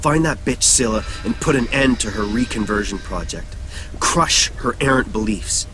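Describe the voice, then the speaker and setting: A man's voice: fairly low pitch, moderate pace, noisy background, close to an everyday voice. Commanding, poetic rebel mastermind played by a man. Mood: commanding, intense. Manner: commanding, poetic, dramatic phrasing. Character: male rebel mastermind, cryptic, driven, poetic. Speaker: rebel leader giving mission orders. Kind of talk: video game voice acting, mission briefing.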